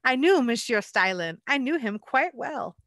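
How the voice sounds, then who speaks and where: A woman voicing a proud cat who tells a tale, in a higher, grand storyteller cadence. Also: big pitch swings, a little slow, a bit bright, dry recording, studio quality, exaggerated voice. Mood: storytelling.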